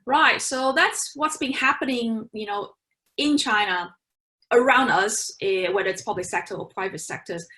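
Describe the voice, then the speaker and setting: A woman's voice: medium pitch, normal speed, sharp tone. A woman presenting and moderating an online panel, talking loosely with lots of "you know"s and restarts. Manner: loose, filler-heavy, with many "you know"s.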